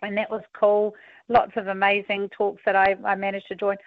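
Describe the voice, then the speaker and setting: Husky New woman on a phone line. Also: mid-range voice, normal speed, no room sound. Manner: relaxed, filler-heavy, upbeat. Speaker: rugby union deputy chair, guest. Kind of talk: casual radio interview answers.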